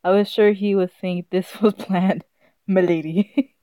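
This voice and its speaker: A woman's voice: mid-range voice, fairly even intonation, a little slow, warm tone, dry recording. A woman streaming a visual novel, giggly and amused, reading text aloud casually and stumbling over it, game music behind her. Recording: slightly dull sound, game music behind.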